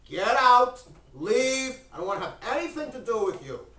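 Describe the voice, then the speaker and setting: Hot-tempered, pious patriarch acted by a male storyteller: blunt slang, very animated, high voice, distant mic. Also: moderate pace. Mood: indignant. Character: zealous, hot-tempered patriarch and desert host. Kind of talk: spoken comic storytelling. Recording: roomy, distant mic with background noise.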